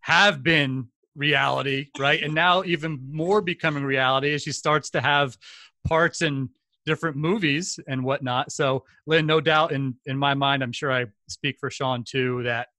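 A man's voice: fairly high pitch, fairly even intonation, a little slow, bright, forceful tone, no room sound. A man in his forties hosting a podcast over a video call, enthusiastic and rambling with lots of fillers, at a desk mic at home. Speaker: podcast host. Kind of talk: casual podcast interview. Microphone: desk condenser mic, video call.

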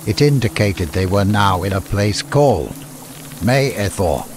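A man's voice: fairly low pitch, lively intonation, a little slow, a little hiss or hum. A man reading a scripted fantasy story as an audiobook narrator. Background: music.

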